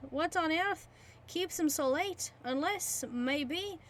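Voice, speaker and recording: A woman's voice: very high voice, animated, slow, pitched above the performer's own voice, heavily acted. A woman voicing a sly, chuckling older male innkeeper in a put-on British accent. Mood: teasing. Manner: jovial, teasing.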